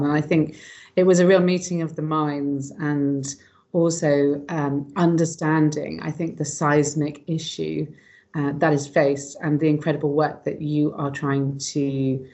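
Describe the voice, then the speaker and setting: A woman's voice: low voice, low-quality recording. A woman hosting a podcast, effusive and rambling, with many ums and repeated words. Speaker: podcast host. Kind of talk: podcast interview.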